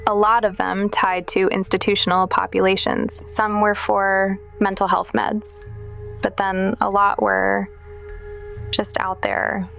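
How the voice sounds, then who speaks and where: An actress playing a dry, deadpan investigator reporting findings in a noir audio drama. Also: female voice, medium pitch, takes it slowly, gravelly, soft background music. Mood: dry, grave.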